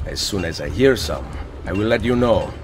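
A stern Italian assassin. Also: male voice, low-pitched, lively intonation, normal speed, music in the background. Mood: sardonic. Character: stern Italian assassin nobleman, dry-witted. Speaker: game character, Italian assassin. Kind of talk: video game cutscene acting. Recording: game soundtrack behind voice.

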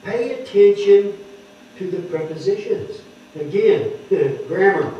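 An older man preaching at a lectern, explaining scripture word by word and stressing key words loudly. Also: high-pitched, lively intonation, takes it slowly, far from the mic, a little hiss or hum. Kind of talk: sermon teaching scripture. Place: wood-paneled church hall, speaking at a lectern. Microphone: microphone on the lectern, heard from a distant camera. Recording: echoey hall heard from afar, dull.

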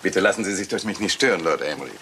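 An actor playing a suave Italian doctor who talks of poisons with polite, sardonic relish in a radio play. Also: male voice, sits low, racing, natural voice. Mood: curious, polite. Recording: hissy old radio play recording.

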